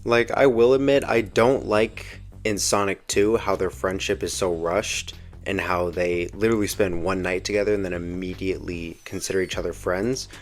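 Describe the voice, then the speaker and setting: A man's voice: low voice, steady tone, moderate pace, few pauses. A young man giving casual, slangy movie commentary with the earnest enthusiasm of a fan. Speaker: video essay host reviewing a film. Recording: music behind the voice. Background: background music.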